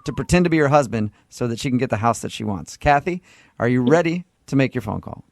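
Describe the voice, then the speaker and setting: A man's voice: mid-range voice, expressive, fast talker, vocal fry, no echo. A man hosting a morning radio show with casual, comedic, teasing banter. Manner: joking, teasing. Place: radio studio.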